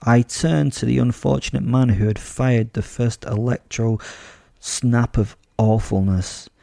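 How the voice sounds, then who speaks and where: A man's voice: mid-range voice, steady tone, a little slow, gravelly, dark tone, no room sound, studio quality. A British man playing a gleefully sadistic, sardonic werewolf serial killer, narrating a horror audio drama in the first person with sweary, darkly comic relish. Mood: blissful, menacing.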